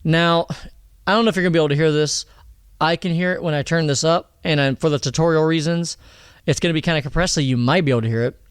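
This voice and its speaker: A man with a thin voice walks through a mixing tutorial in a loose, chatty way and keeps checking in with his listeners. His tone is smooth and fairly high for a man. He is recorded close and dry. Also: fairly even intonation, fast talker, dry recording. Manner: chatty, rambling, frequent "all right?" and "okay?". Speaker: music producer teaching vocal mixing. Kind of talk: casual tutorial explanation. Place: home studio.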